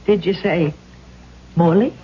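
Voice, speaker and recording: An actress playing a prim, cautious, motherly older landlady in an old-time radio drama, speaking in a measured, admonishing way. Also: fairly low pitch, slight background noise. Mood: wary, measured. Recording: dull old radio recording.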